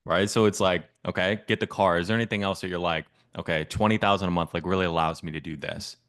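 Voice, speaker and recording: A man's voice: sits low, steady tone, fast talker, a bit bright. A man coaching on a sales call, asking probing, persistent questions in a casual way.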